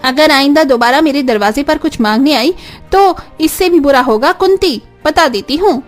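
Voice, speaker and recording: A woman puts on a stingy neighbour woman from a cartoon tale. She grumbles harshly and threatens in a fairly high voice, over music. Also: moderate pace, lightly acted. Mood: threatening.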